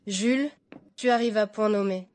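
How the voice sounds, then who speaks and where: An actress dubbing a practical, matter-of-fact young bride. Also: female voice, mid-range voice, normal speed, a little mellow, close to an everyday voice. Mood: teasing.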